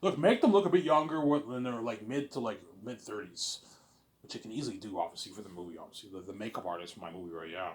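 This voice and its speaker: A man's voice: fairly low pitch, fast talker. A young man talking in a casual, rambling monologue, repeating himself and restarting phrases, blunt in his wording. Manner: repetitive, often restarting phrases. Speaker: speaker in a personal series about his planned film.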